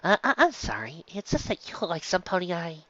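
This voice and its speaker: Storybook female unicorn shopkeeper, acted by the narrator: kindly, gentle, halting, raised pitch, slightly dull sound. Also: very animated. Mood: flustered, apologetic.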